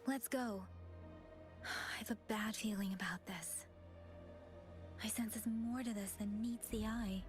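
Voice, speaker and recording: A woman plays a reserved young adventurer from a video game. Her voice is soft, composed and medium in pitch, with music clearly behind it. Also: fairly even intonation, takes it slowly, long pauses, lightly acted. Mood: wary, composed.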